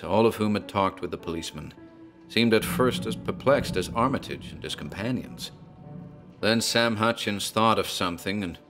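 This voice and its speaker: A man's voice: deep voice, fairly bright tone. A man narrating a horror tale in a theatrical, storytelling manner, as a tavern landlord.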